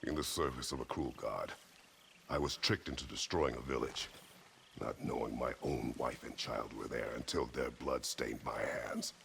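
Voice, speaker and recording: A man playing a grim, middle-aged warrior father in a video game, terse, solemn and measured, with a very deep gravelly voice. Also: fairly even intonation, a bit bright, a little hiss or hum, heavily acted. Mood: somber, haunted. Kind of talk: dramatic video game acting.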